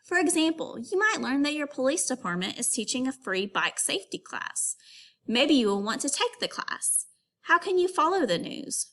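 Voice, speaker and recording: Female primary teacher for a kids' read-aloud: higher voice, very animated intonation, instructional, questioning the listener directly. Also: normal speed. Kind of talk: educational read-aloud for young children.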